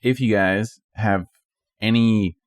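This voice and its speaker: Man, low pitch, podcast co-host, casual slangy chat, dry sound. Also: fairly even intonation, slow pace, clean tone, no echo.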